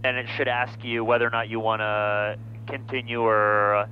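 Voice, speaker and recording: A man's voice: medium pitch, level tone, moderate pace. A man riding along as a fellow pilot in a small plane, chatting casually through a headset. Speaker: passenger pilot filming the flight. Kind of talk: casual cockpit chat. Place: small airplane cockpit in flight. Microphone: aviation headset. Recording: muffled headset audio, faint engine noise. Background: engine hum and radio.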